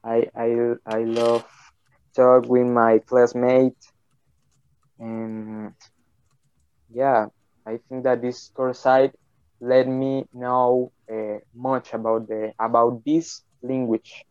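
A man from Colombia, a native Spanish speaker, answering interview questions in halting English with many restarts. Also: medium pitch, little pitch movement, darkish tone, clean tone, no echo, muffled recording. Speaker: English student.

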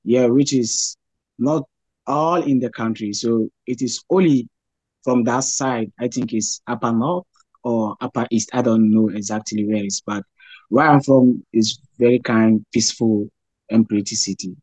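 A man's voice: medium pitch, steady tone, a little mellow, no room sound, poor audio. Young man, Ghanaian accent, hesitant, lots of fillers, dry close sound, video call. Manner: halting, repeats words, many "like" fillers. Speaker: interviewee, graduate student. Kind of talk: casual interview answers.

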